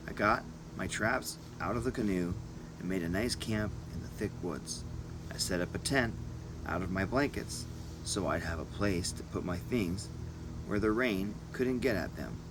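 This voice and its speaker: Guy w/ lowish voice narrating an adventure story bit by bit, noisy hum behind him. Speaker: audiobook reader. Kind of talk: first-person storybook narration.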